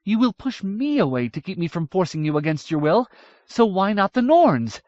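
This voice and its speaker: A defiant young princess, her voice pitched up high and pressing hard, as a male narrator plays her. The recording is dull and dry, with no room around it. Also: sing-song, moderate pace, a little mellow, no room sound. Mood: accusing. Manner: urgent, pleading and scolding.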